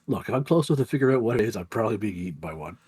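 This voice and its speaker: Male co-host, nasal and husky, low-ish voice, recovering from a lost voice, teasing banter. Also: lively intonation, very fast, darkish tone. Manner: teasing, chatty. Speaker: podcast co-host. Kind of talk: casual game chat.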